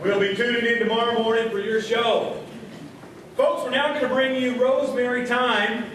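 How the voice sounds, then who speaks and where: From a distance in a very echoey space, a man acts as a small-town station announcer. He has a high voice for a man and presents with genial, folksy courtesy in a comic radio play. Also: little pitch movement, moderate pace, a bit bright. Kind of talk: radio theatre announcing before an audience. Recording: distant, very echoey stage, faint noise.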